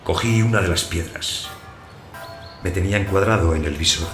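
An actor playing a lonely abandoned householder, narrating his story in a measured, plain way for an audio drama. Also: deep voice, fairly bright tone, faint music behind. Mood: absorbed, quietly elated. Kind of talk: first-person literary narration in audio fiction. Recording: roomy echo, clear background noise.

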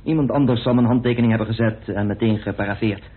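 Radio drama suspect: lowish voice, terse and guarded, on a dull old recording. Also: male voice, fairly even intonation, fast talker, breathy edge. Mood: denying, uneasy. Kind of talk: radio play acting in Dutch.

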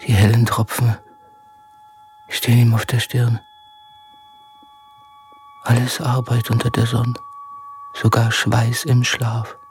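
An actor playing a poor, harried soldier and father in a radio drama, speaking in a halting, brooding way. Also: medium pitch, a little hiss or hum, lightly acted.

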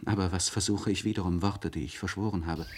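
An actor playing an anguished, articulate nobleman in a literary radio play monologue, speaking in a solemn, searching manner with deliberate phrasing and elevated diction. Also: deep voice, steady tone, very noisy, lightly acted. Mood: haunted. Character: anguished, articulate nobleman confiding by letter to a friend.

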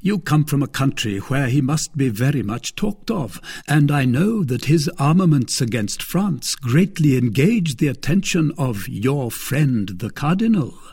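A man's voice: medium pitch, very animated, a little mellow, breathy edge, pitched above the performer's own voice, exaggerated voice. A man playing a cold, mocking nobleman. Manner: contemptuous, measured. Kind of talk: audiobook character reading.

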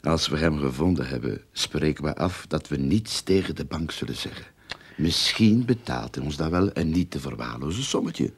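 An actor playing a scheming, self-important private detective in a radio drama, with a pompous, theatrical manner. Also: male voice, rumbling low, lively intonation, normal speed, gravelly, husky, heavily acted. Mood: sly.

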